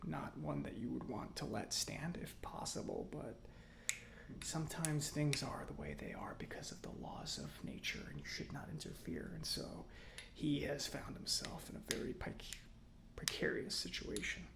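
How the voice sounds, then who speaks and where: A man playing a chatty, self-satisfied robot mechanic in a soft-spoken ASMR roleplay, breathy and often near a whisper, musing slowly with pauses. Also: a little high, lively intonation. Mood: musing, skeptical. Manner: unhurried, hesitant musing with pauses.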